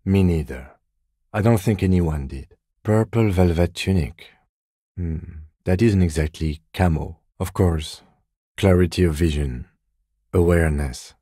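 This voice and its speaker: An actor playing a composed, courteous police lieutenant in a video game, with measured, dryly sardonic, deadpan delivery and a low, husky voice. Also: male voice, dry recording, studio quality. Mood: calm, formal. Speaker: game character, police detective. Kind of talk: video game dialogue voice lines.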